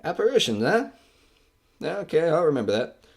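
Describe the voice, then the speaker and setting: A man's voice: fairly high pitch, very animated, normal speed, gravelly, full character voice. A man acting in an audio horror drama as a skeptical, wisecracking radio man narrating a ghost hunt in a casual, hesitant way. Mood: wry, amused. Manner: casual, flippant, hesitant filler-strewn delivery. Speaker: host of a ghost hunt recording.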